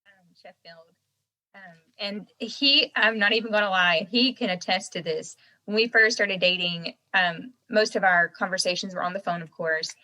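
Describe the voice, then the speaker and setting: Bright-voiced young Southern American woman. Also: mid-range voice, moderate pace, low-quality recording. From Southern United States. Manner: animated storyteller who laughs often. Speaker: guest singer-songwriter. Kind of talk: casual interview chat.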